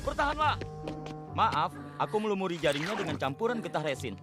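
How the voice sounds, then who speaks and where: Seorang pria menyulihsuarakan pemuda penemu yang tulus dan bersemangat dalam kartun. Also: male voice, high-pitched, sing-song, brisk, over loud music. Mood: earnest. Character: earnest young male inventor, eager gang leader. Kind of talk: cartoon dub.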